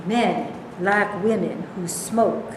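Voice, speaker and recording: A woman playing a sharp-tongued, sarcastic, independent woman delivering mocking jabs in a staged reading. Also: medium pitch, slow, close to an everyday voice. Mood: sarcastic, scornful. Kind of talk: staged reading of comic dialogue. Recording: echoey room, faint background noise.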